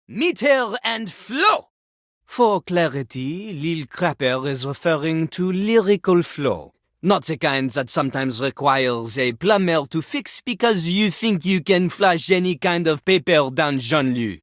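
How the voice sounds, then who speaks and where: A woman's voice: sits low, expressive, measured pace, dry recording, clean studio sound. A woman voicing a cocky male talking toilet who fancies himself a rapper, with a French accent and a theatrical, pompous manner in a video game. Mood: pompous, scornful. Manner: pompous, theatrical, refers to himself in third person. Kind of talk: animated video game character acting.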